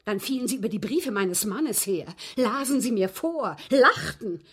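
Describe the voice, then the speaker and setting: An actress playing a genteel, aggrieved widow in a German radio drama, speaking in precise, formal, dramatic phrases with a husky voice. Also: female voice, a little high, animated, normal speed. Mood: indignant, distressed. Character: genteel, aggrieved widow recounting her captivity.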